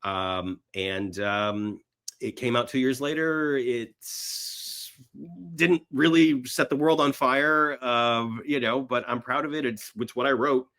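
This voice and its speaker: A man's voice: mid-range voice, slow pace, a bit bright. A man being interviewed, telling stories casually in a rambling way and acting out imagined bits of dialogue. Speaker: podcast guest, screenwriter.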